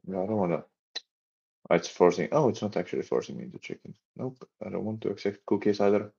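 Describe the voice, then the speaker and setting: A young man from Finland with a Finnish accent, chatting on a live stream and talking haltingly with many restarts and fillers. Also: lowish voice, lively intonation, creaky, dark tone, dull audio. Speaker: livestreaming startup developer. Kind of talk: casual live stream chat.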